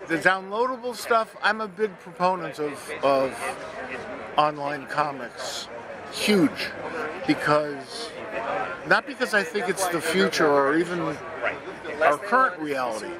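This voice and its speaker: A man's voice: on the high side, measured pace. An older man talking casually as an interview guest. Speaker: interview guest, comic book editor. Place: busy comic convention booth. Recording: loud convention crowd behind.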